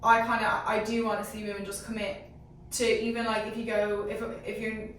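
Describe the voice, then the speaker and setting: A woman's voice: on the high side, little pitch movement, fairly quick. An Irish woman giving emphatic opinions in a casual group discussion about sport. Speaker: sports show panellist. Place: echoey indoor room. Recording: very echoey room, distant mic, faint noise.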